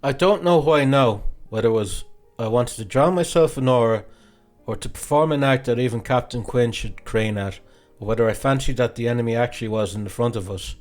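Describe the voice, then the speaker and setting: A man reading a classic novel as an audiobook narrator, in an expressive, dramatic storytelling way. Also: mid-range voice, fairly quick, faint music behind.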